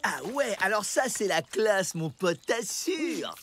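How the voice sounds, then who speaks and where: A woman voicing a cocky, smooth-talking older boy in a children's cartoon, with a teasing, slangy manner. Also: medium pitch, animated, slow pace, heavy background noise. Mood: friendly, cool. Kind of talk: animated children's cartoon dialogue. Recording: loud music behind the voice.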